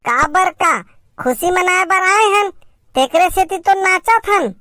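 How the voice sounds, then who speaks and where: A woman's voice: very high voice, expressive, slow, pushed and bright, no echo, clean studio sound, exaggerated voice. A woman voicing an impatient, boisterous young villager in a cartoon comedy, with a brash manner and a Chhattisgarhi accent. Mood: defensive.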